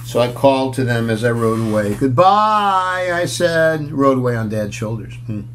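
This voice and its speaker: A man in his sixties reading a picture book aloud to children, playful and expressive, with casual asides as he goes. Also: medium pitch, normal speed, a little hiss or hum. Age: 60s. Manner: expressive, playful, inviting listeners along. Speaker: children's storyteller. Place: small room at home, indoors.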